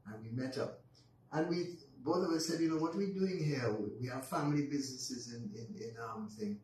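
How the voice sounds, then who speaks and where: An older Barbadian man in his eighties recalls his past in a steady, unhurried voice, full of pauses and restarts. He sounds set back from the microphone in a very echoey room, with a faint hiss of noise behind him. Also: medium pitch. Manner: unhurried, with frequent ums and restarts. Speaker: guest. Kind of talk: reminiscing storytelling in conversation. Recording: roomy echo, distant mic, faint noise.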